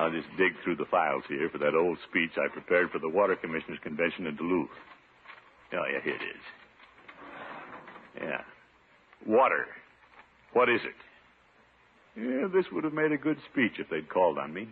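A man's voice: low-pitched, breathy edge, heavily acted. An actor playing a pompous, eager middle-aged city official in a 1950s radio comedy, blustering and bluffing his way along. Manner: blustery, chuckling, hesitant self-important delivery. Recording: noisy, tinny 1950s radio recording.

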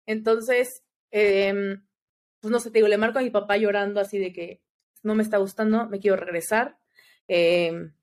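A young woman with a Mexican accent, telling a personal story as an interview guest in a chatty, animated way, often tagging lines with "you know?". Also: medium pitch, moderate pace.

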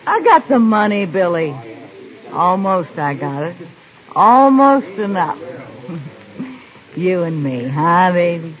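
A woman's voice: on the low side, very animated, takes it slowly, long pauses. An actress playing a drunken, clingy older saloon woman in a radio Western, cooing and pleading with a young man. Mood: pleading, tipsy. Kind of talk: old-time radio Western acting. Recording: lo-fi vintage radio recording.

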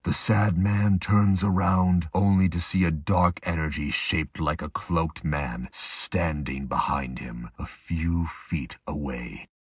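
Male narrator, extremely low pitch, husky, ominous scripted storytelling, dramatic pauses, close dry sound. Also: steady tone, dry recording.

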